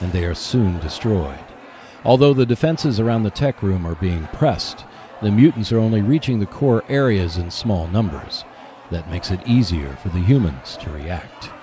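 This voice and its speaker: Low-voiced man narrating a radio play. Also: dark tone, slight background noise. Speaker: host and narrator.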